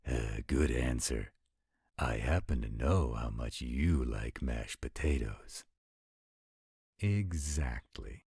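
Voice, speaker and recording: A man playing theatrical supernatural men, deep and husky. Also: expressive, takes it slowly, darkish tone, no room sound, clean studio sound, heavily acted. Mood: lordly, amused. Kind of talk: scripted roleplay audio drama.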